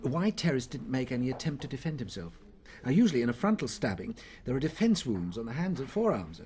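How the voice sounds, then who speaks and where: An actor playing an earnest, good-natured Victorian doctor who sounds eager and a little flustered in a radio play. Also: male voice, mid-range voice, sing-song, brisk, dark tone. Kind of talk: radio drama acting.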